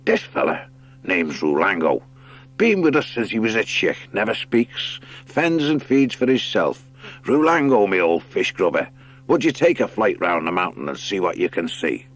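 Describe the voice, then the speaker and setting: A hearty sea otter skipper from an animal storybook, acted out by a man. His talk is salty and rustic, jolly and good-natured, with a lively rise and fall. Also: low-pitched, normal speed, exaggerated voice. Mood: hearty, friendly. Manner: nautical rustic speech, jolly. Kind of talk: audiobook character acting.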